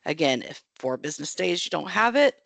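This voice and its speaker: A woman's voice: sits low, very animated, fairly quick, vocal fry, fairly bright tone, no room sound. An American woman moderating an online webinar, giving friendly, chatty announcements with frequent ums and small restarts. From United States. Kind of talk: casual announcements to an online audience.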